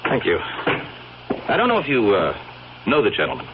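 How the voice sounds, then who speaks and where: Low-voiced, hesitant male inquirer, old radio. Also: huge pitch swings, a little slow. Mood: polite, probing. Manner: hesitant, polite, probing questioning. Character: polite, hesitant man making careful inquiries. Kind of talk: classic radio drama acting. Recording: muffled, noisy old radio recording.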